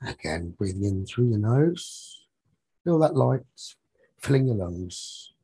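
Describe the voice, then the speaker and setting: A man leading a guided sleep meditation as a teacher, soothing and husky, speaking in short phrases with long pauses. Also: lowish voice, expressive, mellow, a little hiss or hum.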